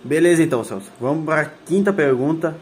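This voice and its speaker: Student interviewer, male, higher-pitched, brisk and direct with his questions. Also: animated.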